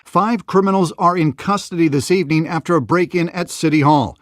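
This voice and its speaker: An actor playing an urgent TV news reporter in a video game, crisp and formal. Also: male voice, fairly high pitch, fairly quick, no room sound, clean studio sound, close to an everyday voice. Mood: reporting.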